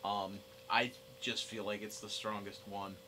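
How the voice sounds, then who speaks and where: A man's voice: on the low side, level tone, a little slow, pushed and bright, slight background noise. A young man hosting a casual podcast discussion. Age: young adult. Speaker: podcast host.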